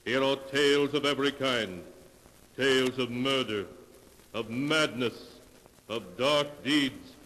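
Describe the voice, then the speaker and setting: Slow, ominous old-time radio narrator. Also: male voice, mid-range voice, a bit bright. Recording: reverberant old radio with faint noise.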